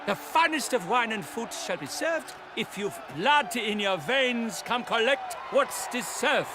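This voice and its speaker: A man's voice: very high voice, lively intonation, unhurried, background noise. A man playing a grandiose tournament herald in a game, proclaiming pompously in rhymed verse. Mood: grand, festive. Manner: declamatory, pompous. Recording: music behind the voice. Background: game music.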